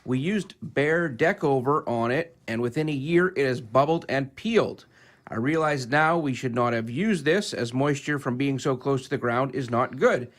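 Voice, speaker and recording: A man's voice: medium pitch, normal speed. A man, a radio co-host reading a listener's email aloud.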